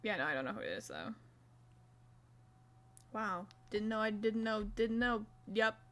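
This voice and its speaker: A woman's voice: medium pitch, animated, unhurried, fairly bright tone. A young woman streaming live, chatting casually and self-mockingly while she crochets, swearing now and then.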